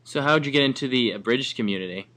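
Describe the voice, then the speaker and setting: A man's voice: medium pitch, quick pace, fairly bright tone. Man, mid-range voice, interviewer, casual conversational questioning.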